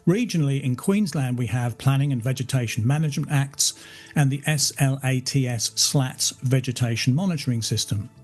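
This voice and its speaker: A man hosting a podcast, reading a formal, measured scripted introduction. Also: mid-range voice, darkish tone. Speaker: podcast host.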